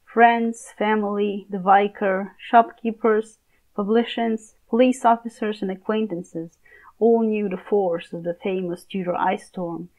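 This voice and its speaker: A young woman giving a deliberate, theatrical scripted reading as an amateur voice actor. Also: mid-range voice, muffled recording. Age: young adult.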